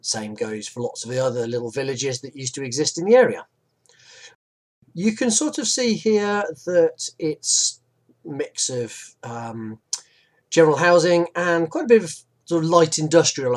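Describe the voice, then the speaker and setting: A man's voice: fairly high pitch, moderate pace. A man narrating a local history video in a chatty, informal way. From West Midlands, England. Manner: chatty, relaxed, with fillers and asides. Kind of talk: informal explanatory narration over maps.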